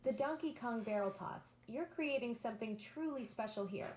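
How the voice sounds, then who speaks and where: A woman's voice: on the high side, normal speed, a little mellow, echoey room, a little hiss or hum. A synthetic female voice assistant talking in a bubbly, enthusiastic, eager-to-please way. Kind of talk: upbeat synthetic assistant chat.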